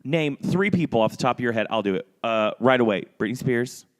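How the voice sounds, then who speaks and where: A man's voice: medium pitch, a little fast. A man co-hosting a podcast, telling pop culture stories and acting out what people said. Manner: animated storyteller, acts out quotes. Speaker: podcast co-host, musician. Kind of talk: casual pop culture chat.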